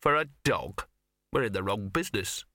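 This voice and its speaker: A man voicing a gruff, sceptical henchman with a blunt, unimpressed manner. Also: lowish voice, expressive, moderate pace, pushed and bright, no room sound, clean studio sound.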